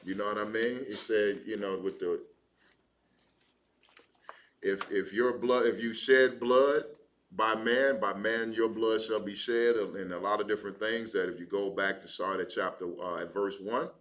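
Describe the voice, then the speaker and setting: Low-voiced man teaching the Bible casually. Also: steady tone, moderate pace, fairly bright tone. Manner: casual, explaining with fillers like "you know what I mean".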